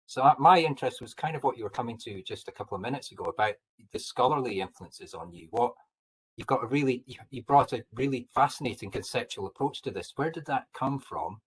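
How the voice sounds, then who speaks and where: A man's voice: mid-range voice, expressive, quick pace, husky, poor audio. A man giving an informal academic comment and question, speaking in an earnest, appreciative way. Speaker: seventeenth-century historian, audience questioner.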